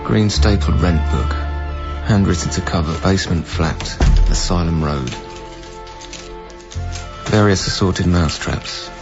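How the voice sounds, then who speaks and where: An actor playing a solemn man in a radio drama, reading out an inventory in deliberate, clipped phrases. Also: low voice. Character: solemn man slowly listing household belongings. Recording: dull radio broadcast under music.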